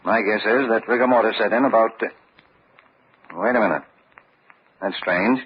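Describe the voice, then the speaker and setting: Man as a precise, low-voiced medical examiner. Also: natural voice. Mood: clinical, pondering. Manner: clinical, deliberate, pondering. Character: precise, formal male medical examiner in a mystery. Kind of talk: vintage radio mystery acting. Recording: dull, hissy old radio recording.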